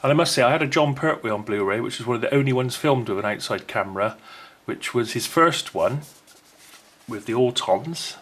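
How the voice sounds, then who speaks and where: A man's voice: medium pitch, animated, fairly quick, a bit bright. A man presenting an unboxing video in a casual, unscripted way, chatty and rambling as he thinks aloud.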